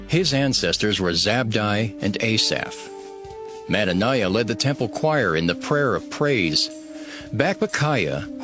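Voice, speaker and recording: A man reading scripture as a scripted audio Bible narration, in a measured, formal way. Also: lowish voice. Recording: music behind the voice. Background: background music.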